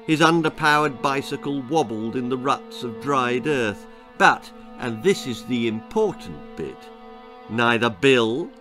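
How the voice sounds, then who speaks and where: A man in his sixties reading a children's story aloud in a theatrical, deliberate way, relishing gruesome words. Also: medium pitch, fairly bright tone. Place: small indoor room lined with bookshelves.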